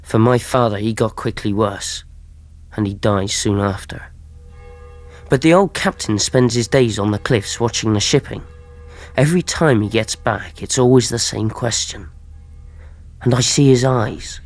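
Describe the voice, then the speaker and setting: A man's voice: on the low side, a little slow. An actor playing an earnest, anxious innkeeper's boy in a radio play, plain, rustic and eager. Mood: subdued narration. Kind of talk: radio play acting and narration.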